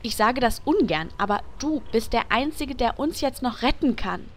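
A woman's voice: a little high, animated, normal speed, a little hiss or hum, natural voice. A woman playing an insistent gamer in a parody audio play, urgently coaxing and instructing a teammate. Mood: coaxing, dry. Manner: urgent, persuasive.

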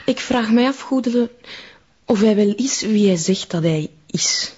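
An actress with a Flemish accent playing a sceptical, cautious member of a sect in a radio drama. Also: female voice, on the high side, expressive, a little slow, a little mellow, breathy edge, lo-fi sound. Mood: suspicious. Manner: sceptical, guarded.

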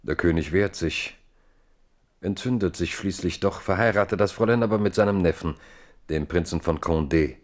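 Give a historical radio play its chronicler played by a man, with a very deep voice. He narrates gravely and deliberately, in literary phrases that he sets out with care. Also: natural voice. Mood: grave, reflective. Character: grave male chronicler of a king's fate.

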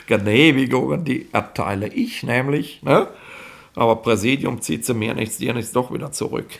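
An actor in a 1960s German radio comedy playing a chatty, self-important police inspector who explains things in rambling, condescending colloquial speech. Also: male voice, mid-range voice, moderate pace, creaky, natural voice. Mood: officious. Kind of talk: 1960s German comic radio play acting.

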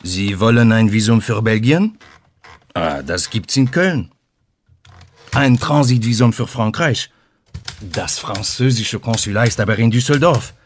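An actor playing a brisk, officious male consulate clerk who drops French phrases in a radio play. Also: fairly low pitch, expressive, faint music behind. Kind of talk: German radio play acting. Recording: clear typewriter clatter behind voice.

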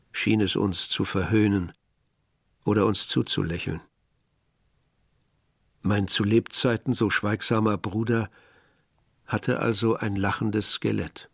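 An actor playing a bitter, brooding young man who narrates a literary radio play in a measured, reflective way, with a husky, gravelly voice. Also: lowish voice, dry recording, studio quality, full character voice. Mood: tense, haunted.

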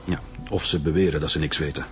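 An actor playing a bossy, sceptical police director in a radio drama, curt and sarcastic, with a Flemish accent. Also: male voice, a bass voice, fairly even intonation, fast, faint music behind.

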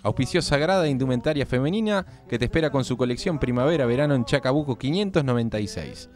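A man's voice: medium pitch, lively intonation, fast, a little mellow, faint music behind. A man reading scripted credits and sponsor announcements on radio.

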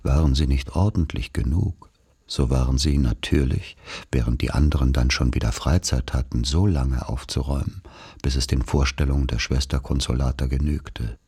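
A man playing a wry narrator who recalls his boarding-school boyhood, in measured literary radio play narration. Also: very deep voice, mellow, husky, exaggerated voice. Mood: wry, deliberate.

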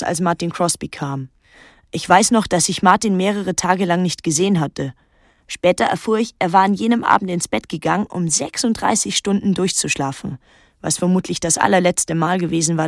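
A woman's voice: on the low side, dry recording. An actress playing a guarded, introspective eighteen-year-old island girl who tells her story in a literary radio drama. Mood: brooding. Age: young adult. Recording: dry close studio, music underneath. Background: soft music.

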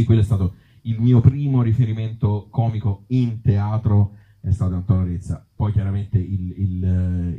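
A man with a Tuscan accent, an author and actor performing and chatting live in a wry, self-mocking way with hesitant asides. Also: low-pitched. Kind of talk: live reading of absurd comic pieces and casual talk with audience. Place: outdoor festival event. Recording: muffled, dull live recording.

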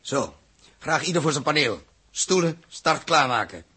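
A man acting a commanding, fair-minded spaceship captain in a 1960s Dutch radio drama, with a husky voice. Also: a little high, lively intonation, a little slow, pushed and bright, full character voice. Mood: brisk, commanding.

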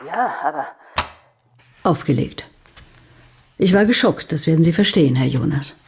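A woman's voice: sits low, lively intonation, moderate pace, husky, full character voice. An actress playing a composed, strong-willed female client in a detective radio play, speaking with control and insistence. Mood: controlled concern. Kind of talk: German radio play acting.

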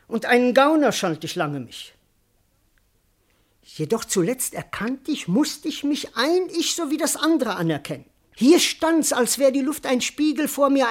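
Bewildered servant lad, high voice, emphatic, wordy, stubborn, classical radio play. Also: female voice. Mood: bewildered, marveling. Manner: emphatic, earnest, wordy protesting. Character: cheeky, stubborn, put-upon male servant, comically bewildered. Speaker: actor. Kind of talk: classical verse comedy, radio drama acting.

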